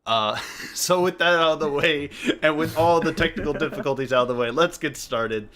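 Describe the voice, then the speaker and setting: A man's voice: fairly high pitch, moderate pace, a bit bright, a little hiss or hum. A man hosting a casual group chat, rambling, joking and often interrupting himself. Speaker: podcast host.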